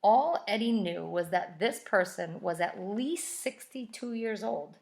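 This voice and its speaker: A woman reading a children's story aloud like a teacher, pausing to explain things step by step in simple words. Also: medium pitch, animated, gravelly.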